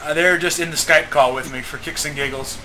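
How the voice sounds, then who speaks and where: A jokey, excitable man hosting a gaming channel and chatting casually with friends, often cutting in. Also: fairly high pitch, brisk. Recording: clearly noisy home voice-call recording.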